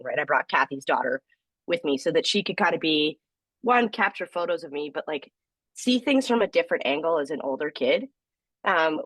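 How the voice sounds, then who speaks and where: Woman co-host, gravelly voice from a cold, lively storytelling, dry close sound. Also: sits low, no echo, rough recording. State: has a cold, voice deeper and gravelly. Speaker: podcast co-host. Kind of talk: casual podcast chat.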